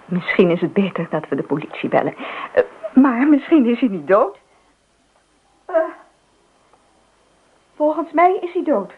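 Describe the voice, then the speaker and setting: A woman's voice: fairly high pitch, very animated, measured pace, pauses often, slightly rough, heavily acted. An actress playing a concerned, practical woman neighbour in a radio play. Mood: worried, insistent. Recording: muffled phone-like old radio recording.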